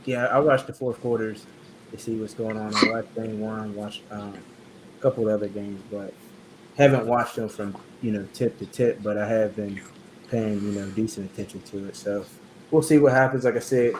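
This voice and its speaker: A man hosting a casual chat about sports and faith, talking in halting bursts with many fillers and restarts. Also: fairly low pitch, fairly even intonation, a little hiss or hum, poor audio. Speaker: podcast host.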